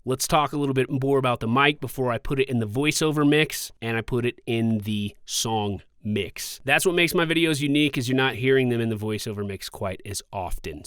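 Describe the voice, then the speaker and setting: A man's voice: mid-range voice, a bit bright, clear, resonant tone, no room sound. A man hosting a casual microphone review, talking informally and conversationally, close to a studio condenser mic. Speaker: microphone reviewer and voice-over video host. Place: quiet indoor recording space. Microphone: close studio condenser microphone, about six inches away.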